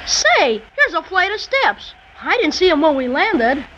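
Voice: very high-pitched, sing-song, a little slow, heavily acted. A performer playing an eager, impatient young boy in a 1930s children's radio serial, blunt and full of slangy exclamations. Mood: amazed. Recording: muffled, noisy 1930s radio recording.

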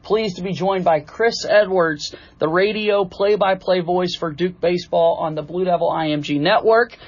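High-voiced man hosting a sports podcast. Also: normal speed, no echo, highs cut off. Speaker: podcast host.

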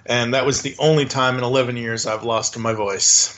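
A man hosting a podcast, loose and chatty, breaking into laughs as he talks. His voice sits at an ordinary middle pitch and comes through a slightly dull, lo-fi recording. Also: steady tone, normal speed, a bit bright. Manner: chatty, laughs often, rambles through plans. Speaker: podcast host. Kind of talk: casual podcast chat.

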